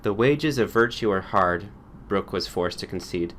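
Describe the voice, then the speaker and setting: A man's voice: low-pitched, lively intonation, normal speed, a bit bright. A man reading a scripted story as an audiobook narrator. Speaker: audiobook reader.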